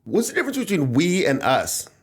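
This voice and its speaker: A man's voice: fairly high pitch, very animated, fairly quick, breathy edge. A man hosting a podcast in casual chat, emphatic and repeating rhetorical asides. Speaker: podcast host.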